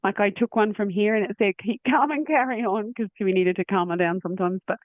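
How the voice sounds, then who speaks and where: A woman's voice: medium pitch, brisk, no room sound. A woman hosting a podcast, chatting in an easy, rambling way. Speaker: podcast host. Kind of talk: podcast interview. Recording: tinny phone-like line.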